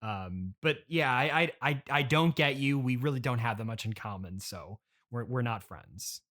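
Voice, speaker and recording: A man's voice: medium pitch, moderate pace, fairly bright tone. A man hosting an improvised comedy interview as the exasperated straight man, stopping and restarting as he talks. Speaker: podcast host.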